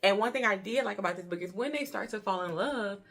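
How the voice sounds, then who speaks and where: A woman's voice: mid-range voice, quick pace, fairly bright tone, slight background noise. An American woman in her early thirties giving a casual book review in an informal, chatty way, repeating herself for emphasis. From Detroit, Michigan, USA.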